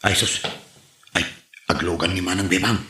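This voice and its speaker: A man's voice: low-pitched, steady tone, normal speed, bright, forceful tone. A man playing a hapless, complaining tricycle driver in a comedy drama, stammering and exclaiming in exasperation. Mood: grumbling. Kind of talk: comedy radio drama acting. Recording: roomy, echoey sound.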